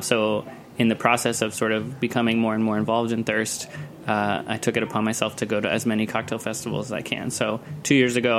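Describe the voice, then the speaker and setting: A man's voice: mid-range voice, a bit bright, a little hiss or hum. A man speaking as an interview guest, casually explaining his work in a chatty way with plenty of "like". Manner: chatty, uses "like" often. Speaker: guest, cocktail festival organizer. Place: radio studio.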